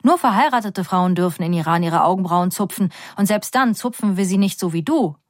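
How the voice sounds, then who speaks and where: A woman narrator voicing a nosy, stern woman in a mosque who questions and scolds a stranger in a quick, know-it-all way. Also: fairly low pitch, lively intonation, no echo, clean studio sound, lightly acted. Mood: scolding.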